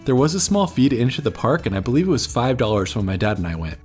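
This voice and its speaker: A man in his thirties reads a scripted travel narration at a middle pitch, with faint guitar music under him. He explains and recommends plainly, like a guide talking to viewers. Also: sing-song, fast, a little mellow. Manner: informative, recommending tips to viewers. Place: voice-over recorded apart from footage.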